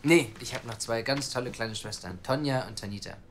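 A man's voice: mid-range voice, fairly quick, fairly bright tone, slight background noise, lightly acted. Casting: actor for earnest, persuasive man wanting a child, mid-range voice, natural radio-play delivery, faint background ambience. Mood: chatty, cheerful. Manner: eager, talkative, persuasive. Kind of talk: naturalistic audio drama acting.

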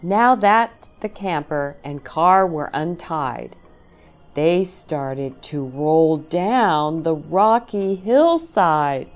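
A middle-aged woman reading a picture book aloud to young children, expressively, with dramatic phrasing and pauses. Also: low-pitched, animated, faint music behind.

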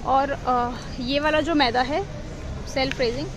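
A woman's voice: high voice, steady tone, measured pace, noisy background. Chatty woman reading supermarket prices. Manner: chatty, walks through aisles reading out prices. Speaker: vlogger. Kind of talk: casual shopping vlog. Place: supermarket aisles, indoors.

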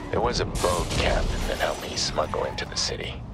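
An actor playing a weary, middle-aged vigilante in a video game, terse and deadpan with a half-whispered rasp. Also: male voice, a bass voice, brisk. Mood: grim, matter-of-fact. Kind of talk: video game cutscene acting. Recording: music behind the voice.

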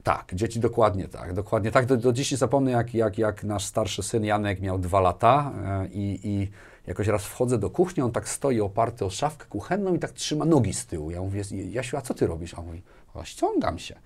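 A man's voice: fairly low pitch, brisk. A middle-aged man talking casually as an interview guest, often repeating words as he thinks, on a lapel mic in a small studio. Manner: animated hand gestures, repeats words as he thinks. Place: small furnished indoor studio.

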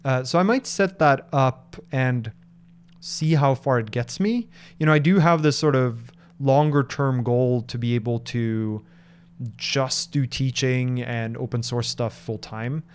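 A man in his thirties from Norway, answering viewer questions in a casual live stream, thoughtful and halting, with lots of fillers and restarts, speaking into a desk microphone in a home office. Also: mid-range voice, clean tone. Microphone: large microphone on desk in front.

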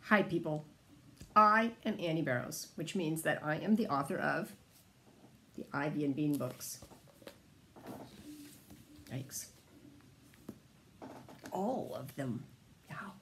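A middle-aged woman chatting casually to camera at home in a droll way with theatrical pauses, as an author giving trivia. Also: low-pitched, lively intonation, pushed and bright. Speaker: children's author. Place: indoors, home room. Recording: faint room noise.

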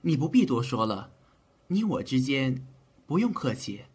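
Husky male ancient Chinese physician, acted by a man, lively pitch swings, earnest, caring, easily flustered, audio drama. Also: measured pace, heavily acted. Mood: concerned. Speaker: voice actor in an amateur audio drama.

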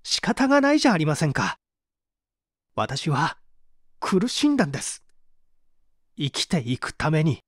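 Man acting an agitated, high-pitched male suspect. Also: wildly swooping pitch, unhurried, long pauses, no echo, clean studio sound, exaggerated voice. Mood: anguished protest. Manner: agitated, pleading, protesting. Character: distressed, defensive male murder suspect under interrogation. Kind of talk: dramatic audiobook reading.

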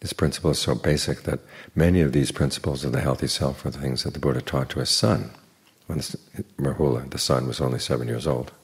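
Very deep gravelly older male monk. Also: steady tone. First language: English. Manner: plain, unhurried teaching with dry humor. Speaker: Buddhist monk giving a dharma talk. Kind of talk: evening dharma talk.

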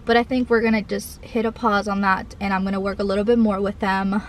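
A woman's voice: mid-range voice, flat delivery, a little fast, a little hiss or hum. A young woman vlogging as a mom, talking casually and rambling, filling her sentences with "like" and "I feel like". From Cuban family. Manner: rambling, heavy use of "like" and "I feel like". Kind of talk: casual confessional vlog. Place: parked car, then outdoor park. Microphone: camera on dashboard or held close.

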